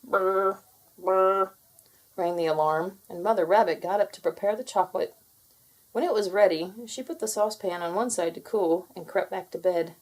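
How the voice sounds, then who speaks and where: A woman narrating a children's storybook in an expressive, deliberate read-aloud manner. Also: on the low side.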